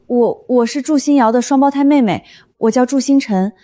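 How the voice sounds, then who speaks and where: An actress playing a flustered young office woman who stammers out theatrical, panicky excuses in an animated drama. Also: sits high, moderate pace, natural voice. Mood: nervous, meek. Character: flustered young woman office planner faking a timid twin. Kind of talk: animated short drama dialogue.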